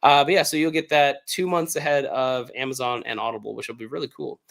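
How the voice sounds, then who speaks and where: A man with an American accent, hoarse from illness, chatting casually and upbeat as the host of a livestream Q&A, with lots of fillers. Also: mid-range voice, steady tone, a little fast, a bit bright. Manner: rambling, filler-heavy, upbeat. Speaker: author hosting a livestream Q&A.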